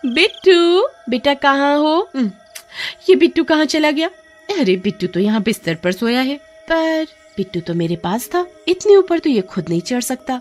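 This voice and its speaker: A woman voicing a guilty, harsh young mother in an animated horror story, with exaggerated, melodramatic delivery. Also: high voice, moderate pace, faint music behind, pitched above the performer's own voice. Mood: puzzled, worried. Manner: wailing, stammering, exaggerated.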